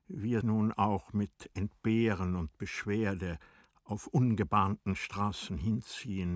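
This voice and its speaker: A man narrating a literary radio drama in a measured, composed manner. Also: fairly low pitch, slightly rough, no echo, clean studio sound.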